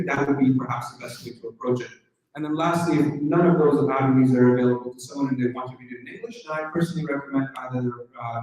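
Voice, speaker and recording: A man's voice: mid-range voice, a little mellow, distant mic. A man lecturing in answer to a question, laying out organized, numbered points. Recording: echoey hall, off-mic.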